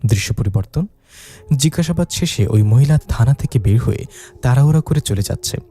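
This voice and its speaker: A man's voice: mid-range voice, lively intonation, fairly quick, dark tone. A man narrating a ghost story in a dramatized storytelling style.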